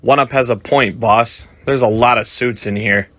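A man voicing a gruff male mutant henchman who talks in a blunt mutter. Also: mid-range voice, fairly even intonation, moderate pace, a bit bright, close to an everyday voice.

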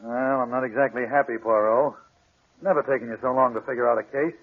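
A man's voice: mid-range voice, quick pace, lightly acted. An actor playing a blunt, impatient, exasperated American policeman in a 1940s radio mystery, slangy and agitated. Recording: muffled, band-limited 1940s radio recording.

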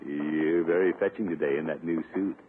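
An actor playing a pompous, excitable middle-aged uncle and former college athlete in a 1950s radio comedy, blustering and chuckling. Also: male voice, rumbling low, moderate pace. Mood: jovial, flirtatious. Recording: tinny, noisy 1950s radio recording.

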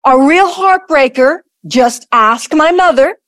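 A woman's voice: high voice, lively intonation, slow pace, a bit bright, no room sound, clean studio sound, heavily acted. A woman playing a wary, proud tycoon's daughter in an audio play, guarded and proper in manner. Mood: grieving, proud.

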